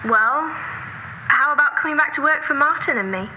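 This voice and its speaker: A woman's voice: sits high, expressive, brisk, highs cut off, background noise. A woman acting an earnest, orderly, nagging sister in a naturalistic audio drama, exasperated but caring and persistent in her lecturing. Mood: coaxing.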